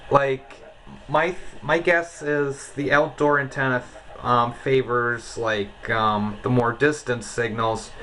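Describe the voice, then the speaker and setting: A man's voice: mid-range voice, steady tone, slow pace, bright, forceful tone. An American man casually giving a hands-on demonstration as a radio hobbyist, in an indoor setting. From United States. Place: indoors beside a shortwave receiver. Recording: clearly noisy, shortwave radio hiss.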